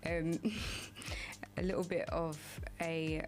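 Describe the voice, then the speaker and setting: A woman's voice: low-pitched, little pitch movement, takes it slowly, soft background music. A woman hosting a radio show in a casual, hesitant way, with many ums and restarts.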